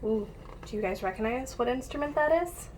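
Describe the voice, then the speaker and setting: A woman's voice: fairly high pitch, a little fast, slight background noise, low-quality recording. A woman teacher reading a picture book aloud to young pupils, addressing them directly and encouragingly with questions and asides, on a home-made recording.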